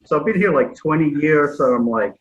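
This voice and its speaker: A man's voice: on the high side, lively intonation, brisk, dull audio, a little hiss or hum. A middle-aged man from Scotland with a Scottish accent, a podcast guest talking about strongman competitions in a modest, matter-of-fact way. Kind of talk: casual chat.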